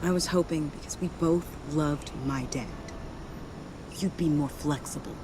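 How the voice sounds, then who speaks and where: A woman's voice: deep voice, a little slow, background noise. A woman playing a young, guilt-ridden yet defiant former ally in a video game, earnest, confiding and emotional. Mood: resigned, regretful. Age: young adult. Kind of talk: video game dramatic acting.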